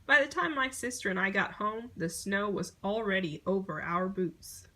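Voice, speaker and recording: A woman reading a children's picture book aloud in an expressive storytelling manner. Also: medium pitch, moderate pace, rough recording. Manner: expressive storytelling, acting out short exclamations.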